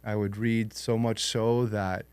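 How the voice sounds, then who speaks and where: Man, young adult, low voice, relaxed storyteller, dry close recording. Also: a little slow, smooth, no echo. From born in Lebanon, raised in Canada. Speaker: podcast guest, entrepreneur. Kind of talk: interview storytelling.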